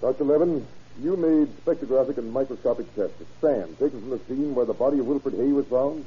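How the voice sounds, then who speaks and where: An actor playing a formal, methodical prosecutor questioning witnesses in an old-time radio courtroom drama. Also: male voice, medium pitch, fairly quick, husky. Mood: formal, probing. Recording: dull vintage radio recording.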